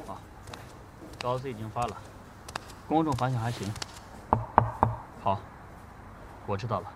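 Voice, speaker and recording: Casting: idol group's manager played by a man, average pitch, businesslike yet easygoing, audio drama. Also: measured pace, very noisy, lightly acted. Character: matter-of-fact, kindly male manager of an idol group. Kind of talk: Chinese audio drama dialogue.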